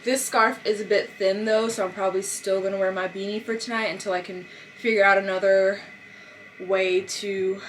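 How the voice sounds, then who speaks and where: A woman's voice: medium pitch, level tone, normal speed, slight background noise, poor audio. A young American woman chatting casually and informally to her vlog audience, stretching out words for emphasis. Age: young adult. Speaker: lifestyle vlogger.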